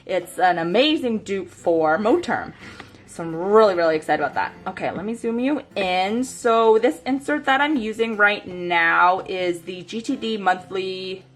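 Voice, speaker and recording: A woman's voice: medium pitch, animated, measured pace. A woman in her thirties chatting casually about her planner, sniffly from a cold and rambling with lots of fillers. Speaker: planner vlogger. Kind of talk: casual chat while planning. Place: home desk by a bright window.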